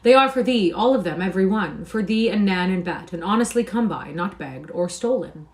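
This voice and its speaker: A woman voicing an excitable poor boy who speaks in archaic, exclamatory phrases. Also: lowish voice, brisk, close to an everyday voice. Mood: eager. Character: excitable poor boy dreaming of becoming king.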